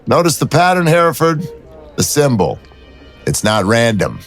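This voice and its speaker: An actor playing a wry, persistent city detective who talks in dry, teasing banter. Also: male voice, medium pitch, big pitch swings, moderate pace, faint music behind.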